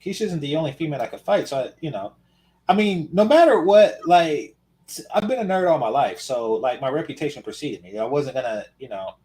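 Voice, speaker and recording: A man's voice: a little high, sing-song, fairly quick, a little mellow, low-quality recording. A man from Oakland chatting casually as a podcast co-host, telling stories in a wry, matter-of-fact way. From Oakland, California. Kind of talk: casual chat.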